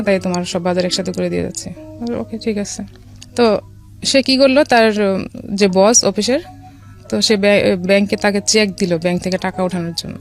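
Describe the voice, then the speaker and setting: Fast-talking Bangladeshi woman telling her story. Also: mid-range voice. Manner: conversational, mixes in some English words. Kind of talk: personal storytelling in a radio interview. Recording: music behind the voice. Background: background music.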